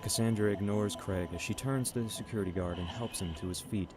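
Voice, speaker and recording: A man's voice: fairly low pitch, brisk. A man narrating a scripted radio drama in a dramatic storytelling manner. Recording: music bed behind the voice.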